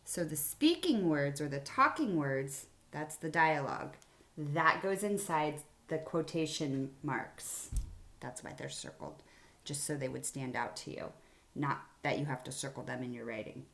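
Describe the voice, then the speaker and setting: A woman's voice: sits low, lively intonation, a little slow, a bit bright. A woman teacher giving a children's writing lesson in simple, explanatory phrases. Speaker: elementary school teacher. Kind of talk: read-aloud writing mini-lesson for children.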